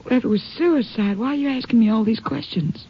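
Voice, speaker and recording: An actress playing a frightened ranch wife in a 1950s radio drama, recounting events anxiously. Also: female voice, on the high side, brisk, slightly rough. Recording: dull lo-fi old radio broadcast.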